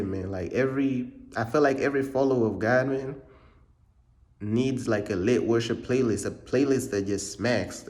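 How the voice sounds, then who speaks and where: A man's voice: medium pitch, darkish tone. Slangy young man from Uganda. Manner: slangy, repetitive, peppers speech with bro. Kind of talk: casual vlog monologue.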